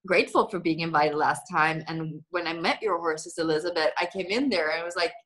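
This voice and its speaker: A woman in her thirties from Germany chats casually on a video call from her living room, animated and giggly, with thoughts that trail off. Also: deep voice, fairly quick. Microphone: video call, computer microphone.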